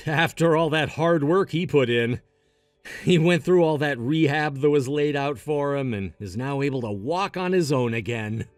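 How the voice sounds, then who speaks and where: A man's voice: fairly high pitch, moderate pace, no echo, faint music behind, natural voice. A man playing an earnest, sentimental family friend in a melodramatic audio story, speaking with heartfelt sincerity. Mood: moved, proud.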